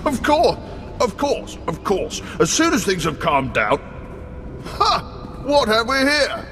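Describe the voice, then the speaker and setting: A man's voice: very high-pitched, wildly swooping pitch, takes it slowly, pushed and bright, heavily acted. An actor playing a gushing, eager-to-please male intelligence analyst in a video game, effusive and theatrical. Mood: gleeful, gushing. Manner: effusive, repeats himself, theatrical. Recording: long reverb, music behind.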